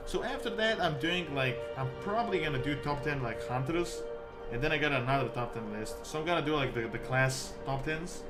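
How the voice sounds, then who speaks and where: A man from Serbia, chatting casually to viewers as a game streamer in a halting, rambling way peppered with "like". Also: medium pitch, animated. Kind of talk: casual livestream chat with viewers. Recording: music behind the voice. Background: music.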